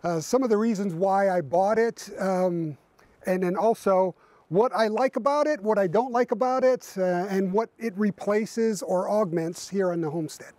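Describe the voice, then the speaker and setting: A man's voice: high-pitched, normal speed, darkish tone. A man in his fifties reviewing his tractor outdoors by a barn in winter, explaining casually with lots of fillers and restarts. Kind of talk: casual equipment review to camera.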